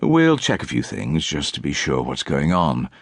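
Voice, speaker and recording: A man voicing a weary middle-aged woman doctor, brisk, clipped and professionally neutral, in an audiobook. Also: very deep voice, huge pitch swings, no echo, studio quality, exaggerated voice.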